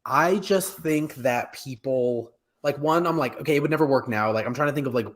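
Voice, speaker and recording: A man's voice: mid-range voice, brisk. A middle-aged man hosting an online discussion, chatting casually from a room at home over a video call. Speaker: host of an online discussion show. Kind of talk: casual chat about radio drama and film.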